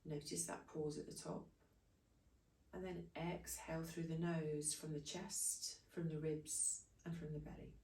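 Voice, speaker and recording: A woman leading a guided breathing meditation, giving soothing, unhurried instructions in short phrases. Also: deep voice, level tone, darkish tone. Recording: echoey room, distant from microphone.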